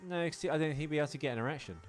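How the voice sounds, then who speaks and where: A man's voice: a little high, rapid-fire, faint music behind. A man co-hosting a casual film podcast with crude, joking banter. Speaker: podcast co-host.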